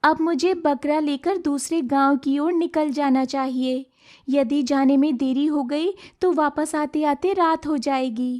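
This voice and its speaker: A lonely outcast village girl from a cartoon moral tale, voiced by a woman, meek and plaintive. Her voice is high and unhurried, with noticeable noise in the recording. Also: fairly even intonation, a little mellow. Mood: weary, resolved. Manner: plaintive, talking to herself or pleading. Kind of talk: Hindi cartoon moral story dialogue.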